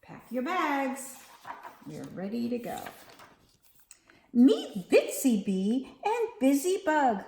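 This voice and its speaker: Slow, sing-song woman reading to kids. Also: high-pitched, sing-song, vocal fry, reverberant, slight background noise. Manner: slow, gentle, sing-song read-aloud delivery. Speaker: children's storytime teacher. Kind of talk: reading a picture book aloud to young children. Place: at home.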